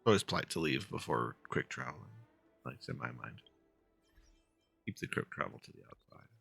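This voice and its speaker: A man making casual, low-key, mumbled asides as he plays through a game. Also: very animated, normal speed, gravelly, a bit bright, breathy edge, soft background music. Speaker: game streamer reading dialogue aloud.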